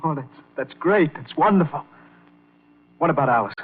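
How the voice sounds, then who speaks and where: An actor playing an anxious, self-justifying piano player who narrates a crime story in a tense, confessional, pleading way, in old-time radio drama style. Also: male voice, on the high side, animated, moderate pace, slightly rough. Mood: dazed, anxious. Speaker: narrator and lead character. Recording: muffled vintage radio recording with music.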